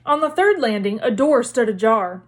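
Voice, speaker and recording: Female storybook narrator, read-aloud delivery, voice set a little high. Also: big pitch swings, moderate pace.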